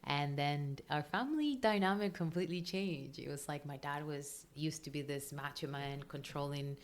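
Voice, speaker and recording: Low-voiced Colombian woman, open and emotional. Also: moderate pace. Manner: open and emotional, frequent ums and laughs. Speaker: podcast guest, breathwork coach. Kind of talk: casual interview chat.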